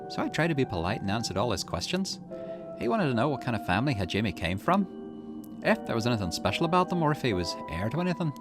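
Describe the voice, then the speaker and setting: A fierce young woman from a visual novel game, acted out by a man, tough and grieving. The delivery is emphatic and indignant, with pitch that swings widely over background music. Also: natural voice.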